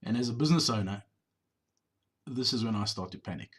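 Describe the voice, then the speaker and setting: A middle-aged business owner giving a measured, explanatory voice-over on drive-thru footage, sometimes repeating words. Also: male voice, fairly low pitch, expressive, a bit bright, husky.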